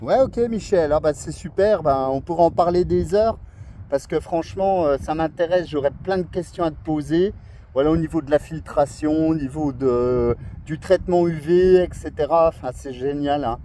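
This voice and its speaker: A man's voice: a little high, moderate pace, mellow, slight background noise. A man chatting casually and enthusiastically outdoors as an amateur radio operator, in a friendly way, sometimes searching for his words. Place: outdoors at a scenic coastal spot.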